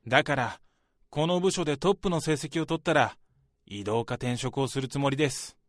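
A man's voice: medium pitch, moderate pace, dry recording, clean studio sound, natural voice. A man playing an ambitious, self-assured young office worker in a radio drama, speaking politely and earnestly to his senior. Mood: confident, earnest. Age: young adult. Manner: polite junior speech, talkative about ambitions. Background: background music.

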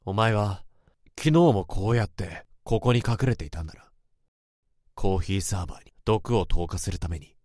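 A man's voice: fairly low pitch, moderate pace, dry recording, studio quality, lightly acted. An actor playing a tense office worker who narrates his own inner thoughts in a radio drama. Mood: cold. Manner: tense, brooding, rising to urgent shouts. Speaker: narrator and protagonist.

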